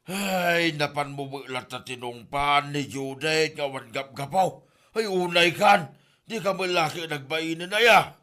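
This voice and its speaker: A man's voice: fairly high pitch, unhurried, bright, forceful tone. A man with an Ilocano accent playing an exasperated, blunt husband who scolds emphatically in a comedy drama. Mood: scolding.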